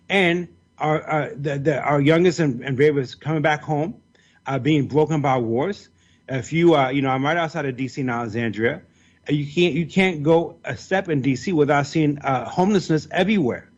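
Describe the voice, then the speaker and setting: Middle-aged male candidate from Ethiopia, earnest and halting, mid pitch, dull call audio. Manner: earnest, many restarts and fillers. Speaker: congressional candidate, guest. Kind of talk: political interview.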